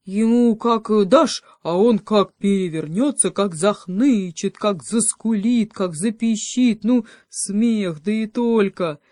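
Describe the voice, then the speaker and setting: Woman acting out a loud, cocky young wolf cub. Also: medium pitch, lively intonation, a little slow, no room sound, studio quality, close to an everyday voice. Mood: indignant. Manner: loud, exclaiming, taunting. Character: boastful, bullying young wolf cub, easily panicked.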